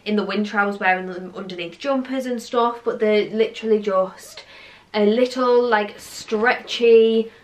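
A woman's voice: medium pitch, moderate pace. Young woman, casual haul chat, rambling fillers, sofa at home. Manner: chatty, rambling, many "like" fillers. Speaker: vlogger. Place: living room, seated on sofa.

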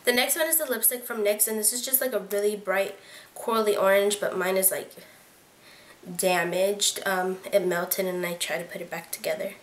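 A woman's voice: mid-range voice, fairly even intonation, moderate pace. A teenage girl reviewing beauty products in a casual chat to her webcam, rambling with lots of fillers. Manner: chatty, filler-heavy, lots of "like" and "um". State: voice sounds odd to her today. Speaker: beauty vlogger. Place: small bedroom, indoors. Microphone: webcam at close range. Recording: roomy bedroom echo.

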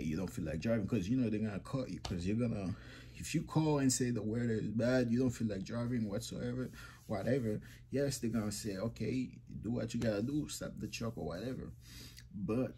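A man's voice: lowish voice, dark tone, slight background noise. A young man in his twenties talking casually to the camera from a small room, rambling and repeating himself as he addresses viewers as guys. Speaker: trucking vlogger.

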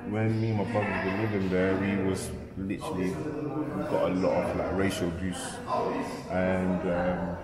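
A man in his thirties from Sweden, a painter talking casually and reflectively about his work, with halting restarts. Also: deep voice, level tone, over a noisy crowd. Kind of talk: casual interview chat. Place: indoor art gallery with pillars. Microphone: camera mic at close range. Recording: echoey gallery with loud music behind.

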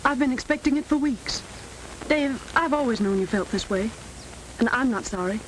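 A woman's voice: sits high, expressive, normal speed, fairly bright tone. An actress playing a devoted, patient young woman in love in a 1930s film. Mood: tender. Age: young adult. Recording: faintly noisy 1930s film soundtrack.

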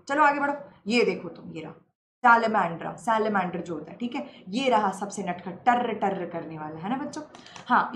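A young woman with a sore throat, teaching a lively, teasing online biology class. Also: fairly high pitch. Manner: playful, teasing, mixes English terms into Hindi. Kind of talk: lively live-stream lecture. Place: indoor room, green-screen beach backdrop. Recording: slightly roomy with faint ambient hiss.

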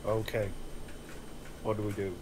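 A man's voice: fairly low pitch, monotone, measured pace, background noise, natural voice. A man playing an inquisitive, somewhat hesitant character in a table read of a crime film script.

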